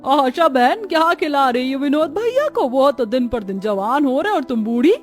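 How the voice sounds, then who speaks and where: Nosy high-voiced village neighbour woman acting. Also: sing-song, moderate pace. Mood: gossipy, needling. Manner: meddling, warning.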